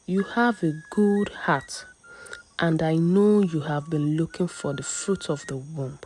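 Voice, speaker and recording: A woman's voice: deep voice, fairly even intonation, takes it slowly, a little mellow, slight background noise. A woman voicing a kindly, mysterious old village woman who gives motherly, solemn instructions in a folktale. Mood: kind, instructing. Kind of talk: folktale storytelling.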